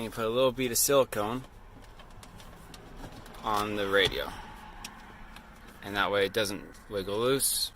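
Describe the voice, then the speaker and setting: A man's voice: on the low side, bright, forceful tone, a little hiss or hum. A man explaining a hands-on installation in a casual, plain-spoken, informal way, like a DIY installer. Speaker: DIY car stereo installer.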